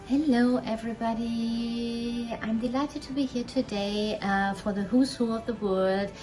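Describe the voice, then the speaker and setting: A middle-aged woman from Germany, introducing herself and her career in a measured, personal way. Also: on the high side, steady tone, darkish tone, soft background music, low-quality recording. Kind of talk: recorded self-introduction.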